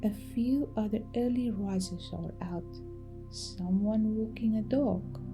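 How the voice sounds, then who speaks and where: A woman reads a soothing sleep story at a very slow pace. Her delivery is gentle and calming, with soft phrasing and pauses between thoughts. Her voice sits at an average pitch, with music clearly playing behind it. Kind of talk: soothing bedtime meditation story narration.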